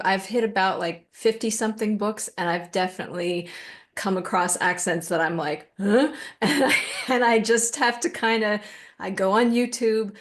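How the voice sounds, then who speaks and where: A woman's voice: mid-range voice, a bit bright. A woman audiobook narrator talking chattily and self-deprecatingly on a panel. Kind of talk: panel chat.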